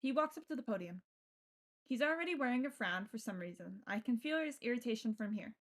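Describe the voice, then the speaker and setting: A woman's voice: fairly high pitch, animated, fairly quick. A woman streaming a game, reading its text aloud and adding casual, playful, sweary commentary.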